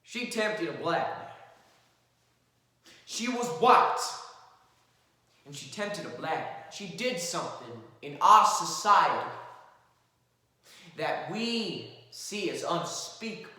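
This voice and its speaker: A man performs an impassioned male defense lawyer addressing a jury in a theatrical monologue. His forceful delivery is emphatic and sweeps widely in pitch, broken by dramatic pauses. It is heard from a distance in a very echoey hall. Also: sing-song, full character voice. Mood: grave, impassioned. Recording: very echoey hall, far from the mic.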